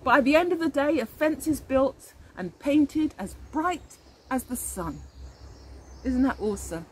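Animated woman teacher reading a storybook. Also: sits high, a little slow. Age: middle-aged. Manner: animated, addressing children with questions. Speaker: early years teacher. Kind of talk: expressive read-aloud of a children's picture book. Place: outdoors in a leafy garden. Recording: faint outdoor background noise.

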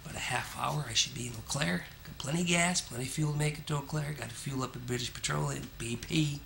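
A man's voice: mid-range voice, fast, creaky, fairly bright tone, a little hiss or hum. A man filming his own road trip, chatting casually to the camera with joking asides. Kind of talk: casual self-narration to camera. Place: on the road and in a parking lot.

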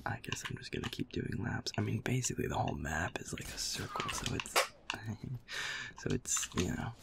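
A man's voice: on the low side, wildly swooping pitch, moderate pace, gravelly, in a whisper. A young man chatting casually as he plays a game, muttering, swearing and putting himself down. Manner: self-deprecating, muttering, often swearing. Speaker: gamer, audio creator. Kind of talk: casual chatter while playing a shooter game. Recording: noisy room with controller clicking. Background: game controller clicks.

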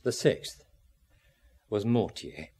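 Low, husky, weary former student. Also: male voice, animated, takes it slowly, dark tone, exaggerated voice. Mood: wistful. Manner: reminiscing, narrative. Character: former art student wearily confessing his youth. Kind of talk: old radio drama acting.

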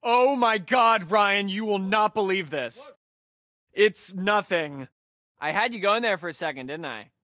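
A young American man, a sardonic summer camp counselor who loves a wisecrack. His delivery is slangy and laid-back, taking its time, with lively swings in pitch. The sound is close and dry. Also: unhurried, dry recording, exaggerated voice. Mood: excited, joking. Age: young adult. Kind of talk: naturalistic video game acting.

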